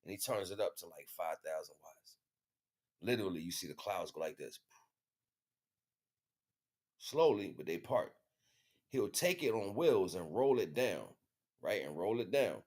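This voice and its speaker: A man's voice: low-pitched, unhurried, pauses often. A man talking in a casual, rambling monologue, telling stories in slang and often checking that the listener follows. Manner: slangy, animated storytelling with frequent check-ins. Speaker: livestream host.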